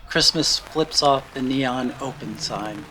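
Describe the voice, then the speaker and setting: A man reads scripted story narration for a radio drama in a measured, storytelling way. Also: mid-range voice, pushed and bright, slight background noise, poor audio. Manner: measured storytelling in present tense, acting out brief quotes.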